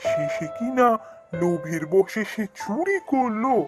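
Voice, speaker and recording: A man's voice: high-pitched, sing-song, slow pace, music in the background, full character voice. A man playing a wily village woodcutter in a cartoon fairy tale, feigning innocence in a theatrical, pleading way. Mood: brooding, scheming.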